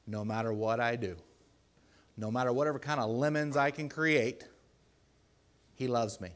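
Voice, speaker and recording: A man with a deep voice preaching a sermon in a church, earnest and pleading, with loud emphatic bursts. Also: steady tone, moderate pace. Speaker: lay preacher.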